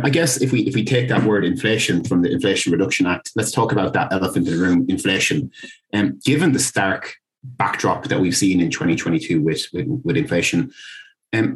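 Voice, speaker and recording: Irish man, podcast host, low voice, easygoing, casual interview. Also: poor audio. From Ireland. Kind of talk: conversational interview.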